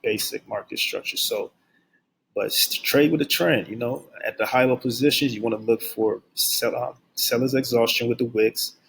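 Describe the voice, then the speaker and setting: A young man teaching forex basics, keeping his voice low and subdued, and repeating key phrases over and over as he drills them in. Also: normal speed, vocal fry, fairly bright tone, a little hiss or hum, rough recording. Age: young adult. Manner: speaks low and subdued on purpose. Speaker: forex trading instructor. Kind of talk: screen-share trading lesson. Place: at his workplace.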